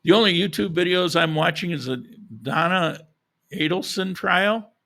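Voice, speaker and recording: A man's voice: high voice, normal speed, vocal fry, sharp tone. A man talking casually to his viewers, opinionated and rambling, with frequent restarts, short of breath and losing his voice. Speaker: vlogger. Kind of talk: casual chat.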